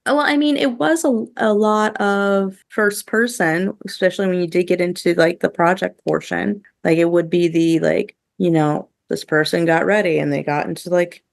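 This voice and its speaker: A woman's voice: on the low side, steady tone, normal speed, creaky, few pauses, no echo, studio quality. A woman podcast host chatting casually and giving her opinions, with a lot of "like" thrown in. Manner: chatty, heavy use of "like", opinionated. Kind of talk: casual review chat among co-hosts.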